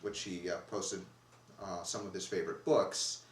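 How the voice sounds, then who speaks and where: A man's voice: low voice, steady tone, moderate pace. A man co-hosting a casual discussion, rambling and breaking off mid-sentence to restart. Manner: rambling, self-interrupting. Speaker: co-host. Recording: echoey room, distant mic, faint noise.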